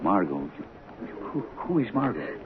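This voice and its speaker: A blunt yet kindly lunch-counter man in an old radio play. His colloquial talk is animated and puzzled, swinging in pitch. The sound is narrow, with faint noise. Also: a little slow. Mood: baffled, exasperated. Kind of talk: radio drama acting. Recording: tinny, noisy 1970s radio recording.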